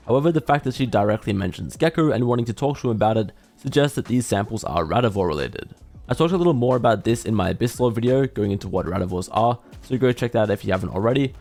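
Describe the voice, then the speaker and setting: A man's voice: lowish voice, a little mellow. A man delivering scripted video commentary as a gaming lore commentator.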